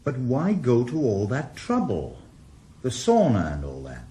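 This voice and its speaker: A man's voice: medium pitch, huge pitch swings, a little slow, mellow. An actor in a radio drama playing a retired male detective who is blunt and probing, with dry humour. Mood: questioning. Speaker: ex-policeman investigator.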